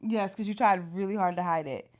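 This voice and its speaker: A woman's voice: medium pitch, a little fast. A woman in her thirties co-hosting a podcast, teasing her husband with dry comebacks. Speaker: podcast co-host. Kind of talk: casual chat.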